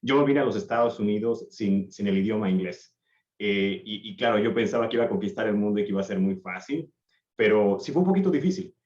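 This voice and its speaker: Low-voiced man on an echoey call. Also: lively intonation, brisk. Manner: warm, rambling, many restarts. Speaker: panelist, recruiter. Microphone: video call. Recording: echoey, dull video-call audio.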